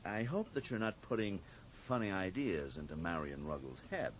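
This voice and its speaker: A man's voice: low voice, moderate pace, natural voice. An actor playing a sly, falsely genial American government agent in a radio drama, needling and evasive as he talks. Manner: falsely genial, hesitant, insinuating. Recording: faint hiss on old radio recording.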